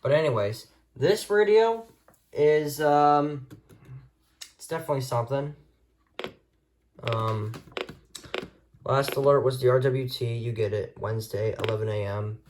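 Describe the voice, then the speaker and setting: A man's voice: medium pitch, unhurried, long pauses, a little mellow, slight background noise. A man talking informally and offhand as he shows off a collection, in an unscripted hobby video. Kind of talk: casual showcase of a radio collection, unscripted.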